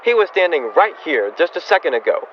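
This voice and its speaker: A man's voice: a little high, very animated, fairly quick. A man playing a flustered, hapless husband in radio comedy style, stammering and sputtering. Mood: flustered, confused. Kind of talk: old-time radio comedy acting. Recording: dull lo-fi sound, faint noise.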